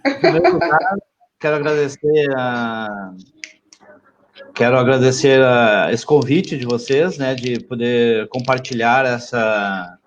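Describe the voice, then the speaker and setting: A man's voice: mid-range voice, dull audio, slight background noise. Hesitant man guest introducing himself. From Brazil, raised in Portugal. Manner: hesitant, many restarts and fillers. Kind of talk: casual self-introduction on a live chat.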